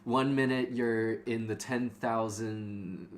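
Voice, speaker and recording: A man's voice: mid-range voice, fairly even intonation, slow. A man talking as a podcast panelist in a casual book discussion, wry and amused. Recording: faint background room noise.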